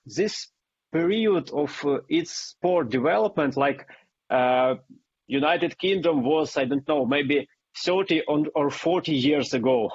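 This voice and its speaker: A man's voice: a little high, unhurried, breathy edge, highs cut off. A man from Ukraine, a Russian speaker, answering interview questions in English, hesitant and full of fillers. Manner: hesitant, many fillers and restarts. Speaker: guest runner.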